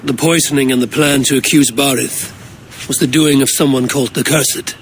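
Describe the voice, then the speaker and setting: A man's voice: medium pitch, steady tone, moderate pace, a little hiss or hum, lightly acted. A man playing a stoic, blunt Viking warrior in a video game cutscene, speaking tersely and directly. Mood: determined.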